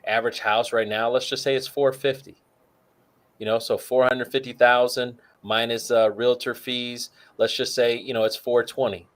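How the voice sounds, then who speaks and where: A man's voice: medium pitch, little pitch movement, normal speed. Easygoing male co-host talking money. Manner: works through figures aloud, many fillers. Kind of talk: casual financial talk show chat.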